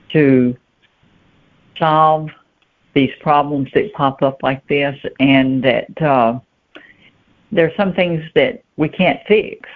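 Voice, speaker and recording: Woman on a thin phone line. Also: low voice. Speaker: guest novelist. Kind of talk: conversational interview answers.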